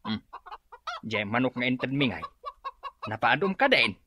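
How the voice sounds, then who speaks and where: A man's voice: medium pitch, very animated, unhurried, noisy background. A man playing a homely older village father in a radio comedy-drama, with an Ilocano accent. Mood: folksy.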